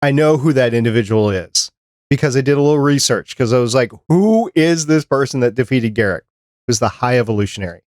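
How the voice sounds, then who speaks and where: A man's voice: medium pitch, animated, brisk, a little mellow, no echo. Chatty man hosting a casual podcast, dry sound. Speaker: podcast host. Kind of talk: casual review discussion of a cartoon.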